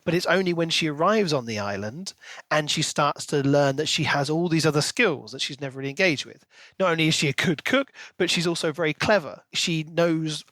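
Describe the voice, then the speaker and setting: A man's voice: fairly high pitch, brisk, fairly bright tone, no echo. A man co-hosting a podcast, discussing books casually in an articulate, analytical way. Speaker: podcast co-host.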